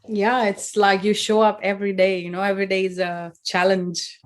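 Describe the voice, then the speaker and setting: A woman's voice: medium pitch, flat delivery, moderate pace. A woman hosting a casual interview, friendly and agreeable in simple phrasing. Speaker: host.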